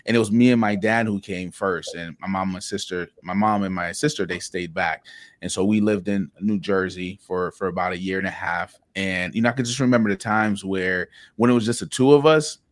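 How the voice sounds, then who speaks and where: A man's voice: low-pitched, fairly even intonation, a little fast, no room sound. A man from Haiti, a podcast guest, tells personal stories in an earnest way, repeating words as he starts his sentences. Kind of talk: reflective personal storytelling.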